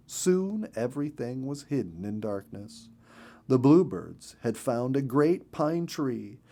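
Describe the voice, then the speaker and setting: A man narrates a children's storybook. His delivery is gentle and expressive, with a mid-pitched voice that moves up and down in a very lively way.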